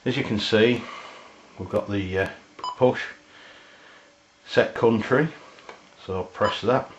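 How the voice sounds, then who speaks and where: A man with an English accent giving an informal, unscripted hands-on product demonstration, halting and often pausing mid-sentence. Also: on the low side, slight background noise. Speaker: product reviewer. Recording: roomy, dull lo-fi camera sound.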